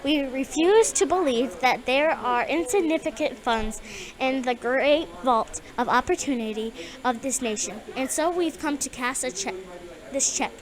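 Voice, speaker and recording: A woman's voice: high voice, measured pace. A female student reading a speech aloud. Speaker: student reader. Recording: crowd chatter behind.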